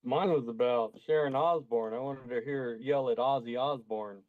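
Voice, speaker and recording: A man's voice: medium pitch, moderate pace, no echo, narrow, tinny audio. A man in an online audio chat, speaking casually and asking questions.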